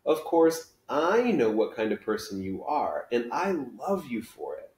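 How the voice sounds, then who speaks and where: A man reading a children's book aloud as a gentle, caring mother with a soothing, reassuring manner. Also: medium pitch, big pitch swings, a little slow. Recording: roomy living-room echo.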